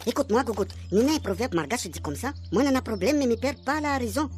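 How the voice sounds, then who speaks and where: A man's voice: very high-pitched, animated, fast talker, a little mellow, soft background music. A man acting an earnest, proud, sensible friend with a hesitant manner in a radio comedy. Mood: earnest, reproving. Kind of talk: comic radio serial acting.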